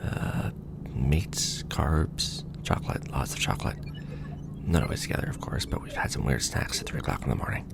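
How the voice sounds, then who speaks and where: Very low, breathy, creaky actor as wry man. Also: normal speed, in a whisper. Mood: casual, wry. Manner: casual, wry, hesitant in explanations. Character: weary, joking young man bonded to an alien symbiote. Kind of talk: ASMR roleplay drama.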